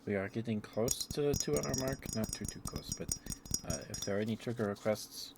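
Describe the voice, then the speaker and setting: Low-pitched man rambling softly in ASMR. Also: brisk, vocal fry, heavy background noise. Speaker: ASMR streamer hosting a live stream. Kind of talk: rambling ASMR, casual chat.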